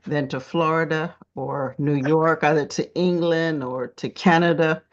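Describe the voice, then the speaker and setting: A woman from the Caribbean interviews her guest in a relaxed, chatty way. Her voice sits very low for a woman. The sound is dull and lo-fi. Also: unhurried. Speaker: host.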